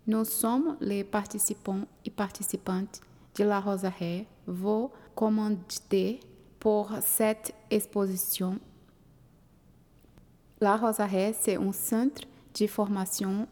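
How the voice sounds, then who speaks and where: A woman's voice: mid-range voice, fairly even intonation, slow, mellow. A woman reading a scripted introduction in short phrases. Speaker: exhibition participant. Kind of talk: scripted narration. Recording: long reverb.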